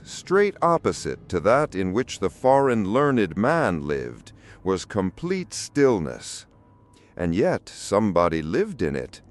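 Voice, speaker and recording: A man narrating a fairy tale audiobook in an expressive, deliberate storytelling manner. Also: fairly low pitch.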